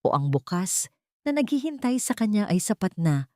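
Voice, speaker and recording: A woman's voice: mid-range voice, very animated, moderate pace, darkish tone, no room sound, clean studio sound. A woman with a Filipino accent giving a scripted, dramatic narration of a love story.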